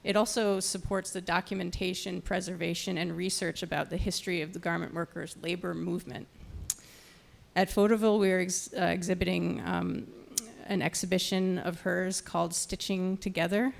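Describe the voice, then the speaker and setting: Creaky-voiced woman introducing speakers. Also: lowish voice, little pitch movement. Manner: halting, stumbles over words. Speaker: nonprofit programs director introducing panel speakers. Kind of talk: semi-scripted event introduction.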